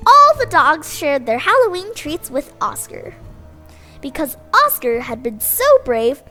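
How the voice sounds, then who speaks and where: Woman, children's story reader, sing-song, lively pitch, faint music underneath. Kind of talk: bedtime story read-aloud.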